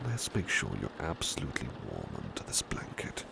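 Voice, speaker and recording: Very deep, gravelly British butler played by a man. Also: wildly swooping pitch, a little fast, exaggerated voice. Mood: tender, reassuring. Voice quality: soft, breathy, often whispered. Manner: tender, deferential, reassuring. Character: devoted, gentle male butler soothing a sleepy master. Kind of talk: ASMR roleplay. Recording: clear background ambience noise, rain. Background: rain ambience.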